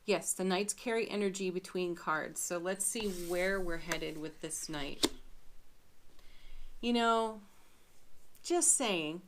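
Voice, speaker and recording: Female tarot reader, unhurried musing delivery, lower voice, light scratchiness. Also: a bit bright, slight background noise. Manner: chatty, musing, laughs often. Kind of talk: daily tarot reading.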